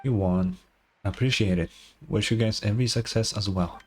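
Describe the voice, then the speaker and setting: A woman's voice: rumbling low, normal speed, a crowd in the background. A woman streamer giving casual, blunt reaction commentary while playing a video game. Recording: game music behind.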